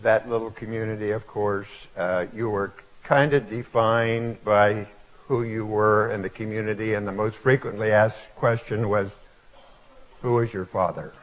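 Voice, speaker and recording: A man in his eighties giving a reflective public lecture to a live audience, telling stories in a halting way with many fillers. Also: on the low side, fairly even intonation, highs cut off. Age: 80s. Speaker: guest speaker. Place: auditorium before a live audience.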